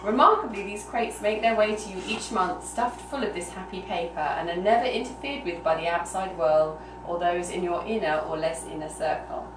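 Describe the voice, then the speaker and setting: A woman's voice: lowish voice, moderate pace, few pauses. A woman reading a story aloud in a live storytelling style, recorded in a room at a small gathering. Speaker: reader. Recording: noisy roomy live recording, distant mic.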